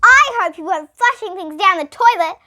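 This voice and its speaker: A woman's voice: very high-pitched, expressive, a little fast, bright, forceful tone, voice pushed up, exaggerated voice. A female reader voicing a bossy, indignant young girl in a storybook, speaking emphatically with an Australian accent. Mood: indignant scolding.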